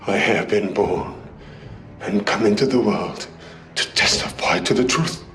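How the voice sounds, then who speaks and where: An actor playing a calm, resolute captive prophet in a biblical drama, speaking in a measured, solemn way. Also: male voice, lowish voice. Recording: music under the voice.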